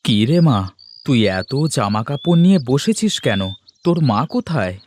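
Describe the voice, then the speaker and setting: A man voicing a caring, protective village father in a cartoon, with an expressive, fatherly, questioning manner. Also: mid-range voice, moderate pace, a little hiss or hum, natural voice. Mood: concerned. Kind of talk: cartoon voice acting. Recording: music behind the voice.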